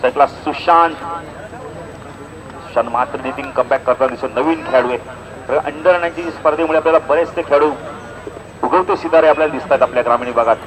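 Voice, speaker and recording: A man doing live cricket commentary, moving between measured announcing and excited calls. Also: mid-range voice, low-quality recording. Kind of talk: live local cricket tournament commentary in Marathi. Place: outdoors at a cricket ground. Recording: outdoor PA with crowd noise.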